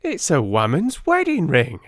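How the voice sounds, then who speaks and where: A man playing an excitable, boastful Victorian police detective in an audiobook, emphatic and exclamatory. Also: a little high, unhurried, dry recording, studio quality, pitched above the performer's own voice. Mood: triumphant surprise.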